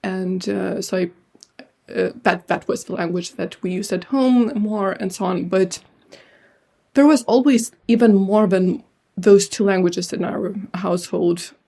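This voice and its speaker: Young woman guest, mellow tone, hesitant and reflective, remote interview voice. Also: mid-range voice, a little slow, gravelly. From Lithuania. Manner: thoughtful, hesitant with many fillers. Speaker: translator and writer, guest. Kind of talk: casual interview answers.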